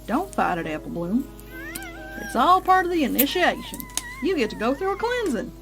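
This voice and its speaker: Lady doing a creepy sweet big sister cartoon pony, highish, super swoopy, music behind. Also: wildly swooping pitch, normal speed, exaggerated voice. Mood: eerily calm. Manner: soothing, reassuring delivery of menacing lines. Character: adult mare, eerily calm sinister big sister. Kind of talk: fan audio drama horror acting.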